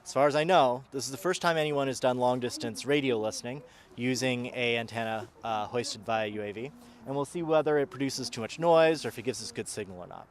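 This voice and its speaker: A man giving a casual live demonstration outdoors, explaining in a halting way as he thinks aloud. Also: mid-range voice. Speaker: presenter and drone operator of a radio experiment. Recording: outdoor recording with clear background noise.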